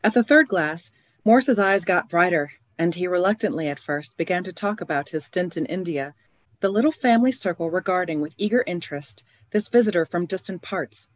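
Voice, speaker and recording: A narrator giving scripted storytelling in a measured delivery for a radio play. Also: medium pitch, expressive, dry recording, natural voice.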